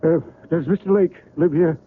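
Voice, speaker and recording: An actor playing a courteous, persuasive middle-aged book publisher in an old-time radio drama, with polite, coaxing manners. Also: male voice, on the high side, moderate pace, slight background noise, natural voice. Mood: polite, hesitant. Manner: polite, hesitant, fatherly coaxing. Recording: muffled, tinny old radio recording.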